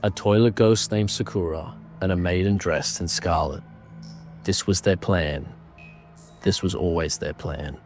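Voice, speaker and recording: A man narrating a scripted horror story in a measured, dramatic manner. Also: sits low, darkish tone, faint music behind.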